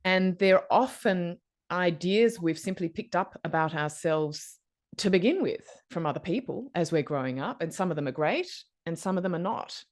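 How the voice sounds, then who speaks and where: A woman's voice: on the low side, lively intonation, moderate pace, a little mellow. Thoughtful woman hosting a podcast. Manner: thoughtful, probing questions, conversational. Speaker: podcast host. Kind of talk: podcast interview.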